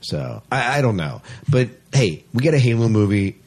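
A man's voice: medium pitch, expressive, normal speed, gravelly, darkish tone, breathy edge. A man co-hosting a podcast, reading out news and chatting casually with enthusiastic opinions. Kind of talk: casual podcast chat mixed with read-out news.

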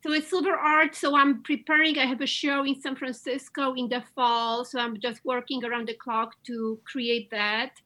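A woman's voice: high-pitched, level tone, normal speed, few pauses, bright, forceful tone. A woman from Poland with a Polish accent, a painter talking as an interview guest, enthusiastic and gushing, often restarting her sentences. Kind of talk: casual interview chat.

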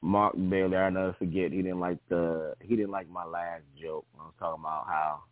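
Low-voiced young man on a phone line. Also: little pitch movement, moderate pace, no room sound. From the Bronx, New York. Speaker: guest comedian. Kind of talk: casual interview chat. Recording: tinny phone line, dry.

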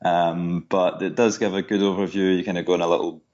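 A man guest explaining how something is made in a measured, informative way, with frequent ums and uhs. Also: sits low, level tone, a little mellow. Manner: informative, measured, with many fillers. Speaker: guest, whisky guide. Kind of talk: casual explanatory chat. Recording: dull lo-fi audio.

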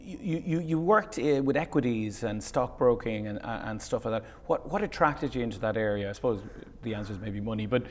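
A man hosting a podcast interview at a tech conference, reading a scripted intro and then asking halting questions. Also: medium pitch, lively intonation, a little hiss or hum. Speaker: podcast host.

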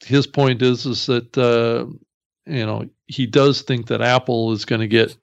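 A man's voice: mid-range voice, steady tone, a little slow, gravelly. A man co-hosting a podcast, casually discussing the industry. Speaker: podcast co-host. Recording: dull, slightly lo-fi audio.

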